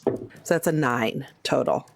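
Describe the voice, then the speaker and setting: A woman's voice: rumbling low, measured pace, gravelly, a little hiss or hum. A woman playing a tabletop game, chatting casually and describing her character's moves.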